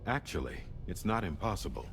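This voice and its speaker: A man playing a sober, analytical adviser in a video game, explaining things in a formal, measured way. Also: low voice, big pitch swings, background noise. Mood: explanatory. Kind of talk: cinematic video game acting.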